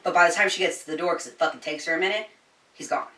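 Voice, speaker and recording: A woman chatting informally through a book recap, slangy and sweary, telling it like an animated storyteller. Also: lowish voice, racing, distant mic, very noisy. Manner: animated storyteller, voicing quoted dialogue. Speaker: book reviewer. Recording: echoey room, somewhat off the mic.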